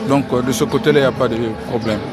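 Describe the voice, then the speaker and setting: A man from the Republic of the Congo giving an outdoor press interview, speaking in a measured way and repeating key phrases. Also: medium pitch, steady tone. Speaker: interviewee, financial engineering expert. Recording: crowd noise behind.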